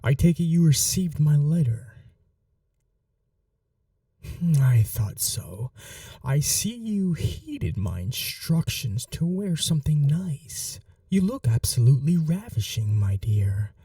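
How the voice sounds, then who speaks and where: Man playing a dark-voiced, seductive vampire lord. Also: fairly high pitch, very animated, slow, no echo, studio quality, recorded from a distance, heavily acted. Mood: admiring, seductive. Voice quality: soft and breathy, often dropping to whispers. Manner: courtly, teasing, menacing politeness. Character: sadistic, possessive male vampire lord, suave seductive villain. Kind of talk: ASMR roleplay audio drama.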